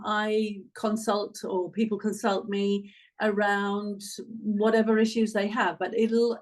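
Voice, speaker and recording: A woman's voice: medium pitch, darkish tone. A woman in her sixties with an English accent, explaining things conversationally as an expert guest. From England. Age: 60s. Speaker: guest physiotherapist and hormone expert.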